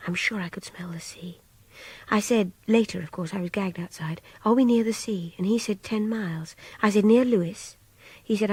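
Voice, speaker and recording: An actress playing a spirited young art student held captive, frank and bossy, in a radio drama. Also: female voice, medium pitch, a little mellow, slightly rough. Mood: hushed, wistful.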